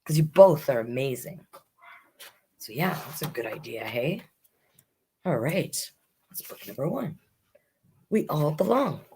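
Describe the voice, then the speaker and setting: A woman's voice: low-pitched, very animated, a little slow, pauses often, background noise. A woman hosting a children's storytime, reading picture books expressively with chatty asides to young listeners.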